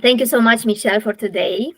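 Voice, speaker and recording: A woman from Romania with a Romanian accent, hosting a podcast interview and talking slowly and thoughtfully, with many "uh" fillers. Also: fairly high pitch, fairly bright tone, breathy edge. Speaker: podcast host. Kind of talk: casual interview chat.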